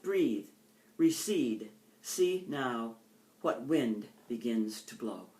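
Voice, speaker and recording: An older woman with an American accent, a poet reading her own poems in deliberate phrases and introducing them informally. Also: rumbling low, animated, darkish tone. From United States.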